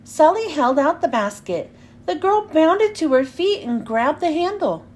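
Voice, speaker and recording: High-pitched, animated woman reading storybooks. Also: a little slow. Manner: animated, clearly enunciated storytelling. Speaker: children's read-aloud host. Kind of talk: expressive storybook narration for young children.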